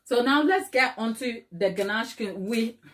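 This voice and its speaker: Casting: middle-aged woman from Ghana, live-stream baking host, chatty, warm-hearted laughter, talks straight to chat. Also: fairly high pitch, measured pace. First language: Twi. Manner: chatty, laughs often, addresses viewers directly.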